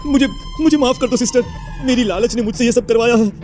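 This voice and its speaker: A man's voice: very high voice, fast talker, a little mellow, soft background music. A man voicing a greedy, scheming villager in a horror cartoon, agitated and cowardly. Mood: terrified. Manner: agitated, stammering with fear. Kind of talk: Hindi horror cartoon voice acting.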